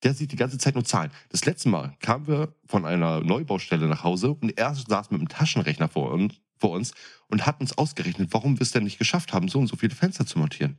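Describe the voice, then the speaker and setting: A man's voice: fairly low pitch, big pitch swings, fast. A man speaking colloquial speech in a blunt, coarse, emphatic way, arguing his point in a casual podcast chat. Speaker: podcast co-host, experienced window fitter. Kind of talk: casual chat, opinionated argument.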